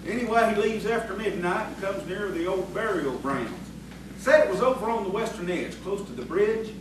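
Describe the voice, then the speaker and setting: A man acts a village blacksmith in a radio play, telling tales in a folksy, rustic way. His voice is high, heard from a distance in a very echoey, noisy room. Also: animated, normal speed. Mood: gripping storytelling. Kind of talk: audio theatre acting. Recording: echoey room, distant mic, clear noise.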